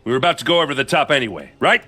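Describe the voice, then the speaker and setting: Excitable, husky, forceful warrior ally. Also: male voice, medium pitch, racing, faint music behind. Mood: excited. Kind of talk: video game drama acting.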